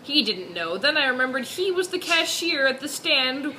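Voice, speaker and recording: A woman's voice: high voice, big pitch swings, moderate pace, bright, forceful tone, a little hiss or hum, low-quality recording. A woman giving a mock-dramatic reading of fan fiction, giggly and theatrical, with sarcastic asides.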